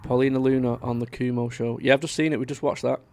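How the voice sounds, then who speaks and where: A man hosts a live stream. He rambles chattily, reading out viewer comments between stories. His mid-pitched voice carries a gravelly edge. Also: steady tone, quick pace, a little mellow. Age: 30s. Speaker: livestream host. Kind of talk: casual live-stream chat.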